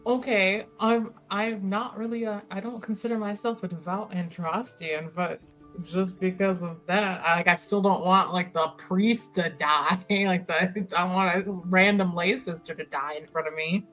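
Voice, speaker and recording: A woman playing in a tabletop roleplaying game, improvising in character with asides, in candid, halting phrases. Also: fairly low pitch, fairly even intonation, fairly bright tone. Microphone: close microphone on online stream. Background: music.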